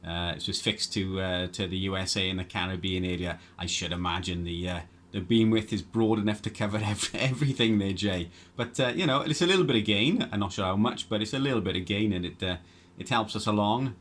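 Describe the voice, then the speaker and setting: Male amateur radio operator, low pitch, loose conversational delivery, hesitant and rambling. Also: a little fast, a bit bright. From Wales. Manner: rambling, hesitant, repeats words. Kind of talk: casual ham radio chat.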